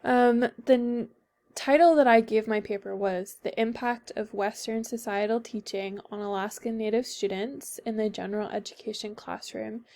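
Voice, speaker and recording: A woman's voice: a little high, a little mellow. A young woman with a Northern Irish accent, a little sick, giving a class paper presentation with frequent hesitations and ums. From Northern Ireland. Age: young adult. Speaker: student presenter.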